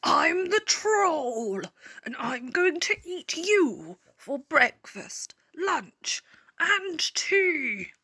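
A woman's voice: big pitch swings, bright, forceful tone, dry recording, full character voice. Woman playing a greedy, roaring storybook troll, drawn out. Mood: booming, threatening. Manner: menacing, drawn-out booming delivery. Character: greedy, roaring, bullying male troll under a bridge. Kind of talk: children's storybook character voice.

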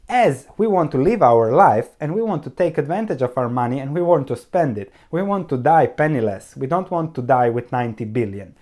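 A man in his thirties hosting a solo investing video, speaking to camera with emphatic, gesturing delivery on a lapel mic. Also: on the high side. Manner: animated, gesturing with both hands, emphatic.

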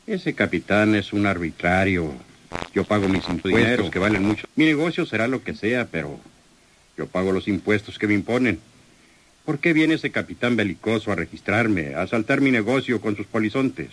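Low-voiced, possessive crime boss. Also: male voice, animated, moderate pace. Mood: indignant. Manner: condescending, smooth-talking, domineering. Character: menacing, possessive male crime boss, self-satisfied suitor. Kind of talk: old radio soap opera acting.

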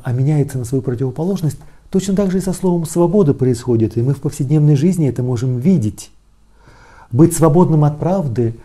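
A man in his forties, a priest, explaining scripture in a measured, reflective way, phrase by phrase. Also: mid-range voice, mellow, slightly rough. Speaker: Orthodox priest giving Bible commentary. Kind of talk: expository religious teaching, reading and explaining scripture. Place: small indoor study with bookshelves.

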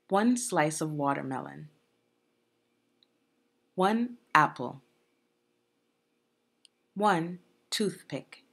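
A woman reading aloud to children as a teacher would, in a guided lesson style with deliberate phrasing. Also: deep voice, husky. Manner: deliberate teacherly phrasing, asks the listener questions. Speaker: education consultant reading aloud.

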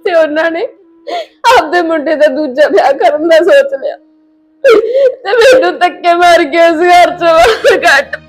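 A woman's voice: high voice, normal speed, a little mellow, lightly acted. A woman playing a heartbroken, wronged young wife in an emotional drama, plaintive and tearful. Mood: anguished, crying. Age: young adult. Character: heartbroken, wronged young married woman, despairing and refusing comfort. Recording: music behind the voice.

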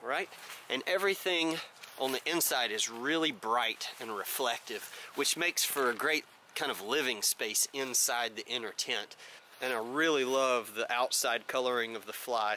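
A man's voice: mid-range voice, background noise. An American man around forty giving a casual gear review in vlog style. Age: 30s to 40s.

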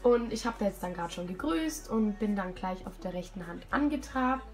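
A hoarse young woman with a cold, talking casually and self-critically over a video of her riding. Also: mid-range voice, animated, normal speed, darkish tone, faint music behind. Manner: self-critical, chatty, many fillers. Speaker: rider commenting her own show-jumping test video. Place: at home, voice-over.

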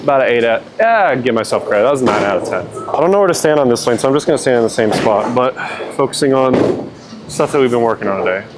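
A young man and bowler talking relaxed through his practice shots and rating them, on a lapel mic in a large indoor bowling alley. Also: mid-range voice, quick pace. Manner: relaxed, rates his own shots plainly. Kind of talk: casual instructional talk to camera. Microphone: clip-on lapel mic. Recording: noisy bowling alley behind. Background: bowling alley noise, clicks.

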